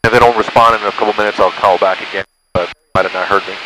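A man's voice: on the low side, fairly even intonation, rapid-fire, pushed and bright, low-quality recording. A man working as a pilot, making radio calls and explaining things to his passenger over a cockpit headset. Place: small propeller plane cockpit in flight. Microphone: aviation headset boom mic. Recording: headset intercom with engine and radio noise.

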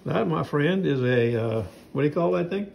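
An older man talks in a rambling, folksy way while he films with his phone around his house and yard. His voice is gravelly and sits a little high. Faint background noise runs under him. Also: lively intonation, normal speed, a little mellow. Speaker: knife sharpener vlogger. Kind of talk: casual home vlog narration.

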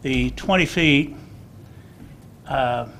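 A man's voice: mid-range voice, drawn-out words, fairly bright tone, a little hiss or hum. An older man, a resident giving blunt, plainspoken public comment to the council. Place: council chamber. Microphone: table microphone.

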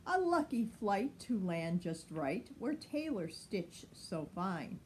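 A woman's voice: medium pitch, sing-song, takes it slowly, darkish tone, a little hiss or hum, low-quality recording. A woman in her sixties reading a children's picture book expressively, lingering dramatically on words. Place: sunny room at home by large window.

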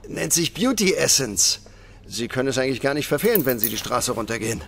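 A man's voice: medium pitch, sing-song, fast, a bit bright. An actor playing a chatty but wary male janitor in a German audio drama, with a hesitant, folksy manner. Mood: surprised, helpful.

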